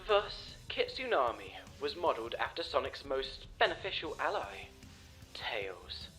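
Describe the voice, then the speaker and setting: A man's voice: medium pitch, big pitch swings, pushed and bright, faint music behind, low-quality recording. Man voicing a pompous villainous doctor, swooping theatrical delivery. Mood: analytical. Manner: theatrical, formal, deliberate diction. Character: pompous, scheming villain doctor, a cold analytical schemer. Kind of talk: comic dub villain monologue.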